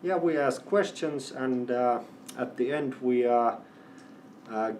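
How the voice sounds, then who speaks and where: Guy at an event panel, slow and halting with lots of uhs, mic a bit far off. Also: medium pitch, darkish tone, slight background noise. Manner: halting, many fillers and restarts. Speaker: company representative. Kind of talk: panel interview. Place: event venue. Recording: distant mic with faint ambience.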